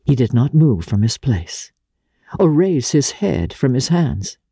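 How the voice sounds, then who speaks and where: Woman narrator for classic fiction: grave and deliberate, very measured pace, husky edge, dramatic delivery. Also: a bass voice, sing-song, mellow, no room sound, studio quality. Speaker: audiobook narrator.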